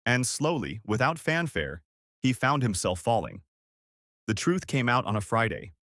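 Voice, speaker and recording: A man reading a scripted, dramatic story in a measured storytelling manner. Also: medium pitch, sing-song, fairly bright tone, no echo, studio quality. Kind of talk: scripted narration of a dramatic folktale-style story.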